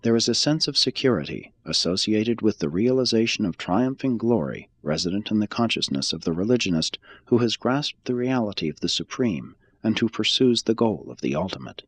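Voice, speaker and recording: A man reading a religious text aloud as an audiobook, in a measured, solemn and formal manner. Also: fairly low pitch, animated, dry recording. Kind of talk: scripted read-aloud, audiobook narration.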